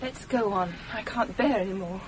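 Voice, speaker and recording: A woman's voice: mid-range voice, normal speed, natural voice. An actress playing a determined, touchy, perceptive woman in a radio play. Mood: shaken, determined. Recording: noisy old radio drama recording.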